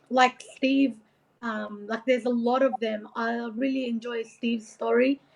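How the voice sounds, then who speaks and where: High-pitched, creaky-voiced woman. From Iraq. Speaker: motivational coach being interviewed. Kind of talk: informal motivational interview talk. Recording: dull, lo-fi audio.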